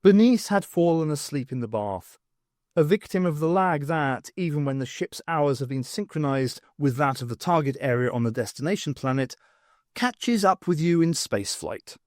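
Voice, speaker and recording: Male narrator for fiction audiobook: expressive, swooping intonation, very dry close sound. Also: fairly high pitch, moderate pace, dry recording.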